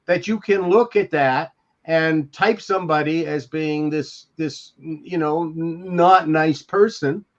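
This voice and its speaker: An older man in a casual podcast discussion, speaking haltingly and repeating words. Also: a little high. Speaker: podcast panelist. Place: room at home, indoors. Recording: slightly dull video-call audio.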